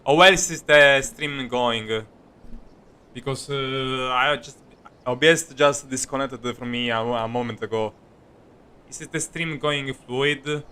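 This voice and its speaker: A man casually commenting on a live game stream, halting and jokey, quick to react to what happens. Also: mid-range voice, pushed and bright, smooth.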